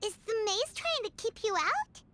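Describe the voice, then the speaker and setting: Squeaky, bright little fairy companion. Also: female voice, big pitch swings, normal speed, full character voice. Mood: curious, puzzled. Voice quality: squeaky, childlike. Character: tiny, perky, curious childlike fairy companion. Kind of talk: scripted game cutscene dialogue.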